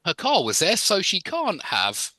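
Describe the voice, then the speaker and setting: Upbeat male tutor with an animated, bright voice, drilling grammar over a video call. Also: high-pitched, a little slow, no room sound, studio quality. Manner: corrects and explains, laughs easily, repeats model sentences. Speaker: English teacher. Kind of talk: live online English lesson.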